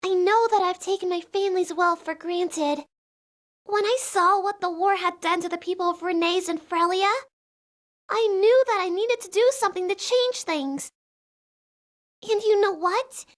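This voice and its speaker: A woman's voice: very high voice, unhurried. A woman playing an earnest, affectionate, sheltered young princess with a girlish manner in a video game fandub.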